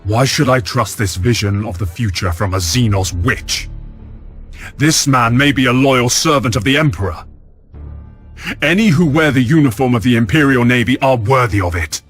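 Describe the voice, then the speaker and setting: An actor playing a resolute, dutiful space navy admiral in a video game, with a firm and formal military delivery. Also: male voice, mid-range voice, moderate pace, a bit bright, lightly acted. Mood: indignant. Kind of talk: video game cutscene acting. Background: music.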